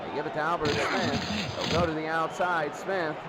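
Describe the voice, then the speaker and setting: A man's voice: a little high, steady tone, normal speed. A man calling a football game as a live play-by-play commentator. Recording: loud crowd and noise behind commentary. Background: loud crowd, stadium-like noise.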